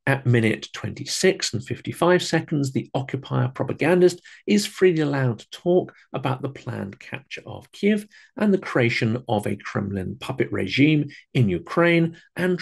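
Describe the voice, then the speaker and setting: A man reading scripted critical commentary in a measured, emphatic and disapproving manner. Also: mid-range voice, creaky, husky. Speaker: host and commentator.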